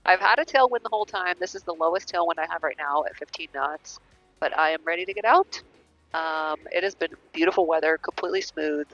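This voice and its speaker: A woman's voice: on the low side, fairly quick, a bit bright, faint music behind. An American woman pilot making radio calls and chatting casually to viewers over an aviation headset in a cockpit. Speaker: pilot and vlogger. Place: small turboprop cockpit in flight. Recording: muffled cockpit headset audio.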